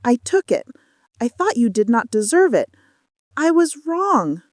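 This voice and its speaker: A female storyteller plays a rich, haughty village woman from a folktale, full of envy. The voice is high and swings dramatically with feeling. It sits in a dry, close recording. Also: big pitch swings, unhurried, a little mellow, no echo. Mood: remorseful. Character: proud, envious wealthy village woman in a folktale.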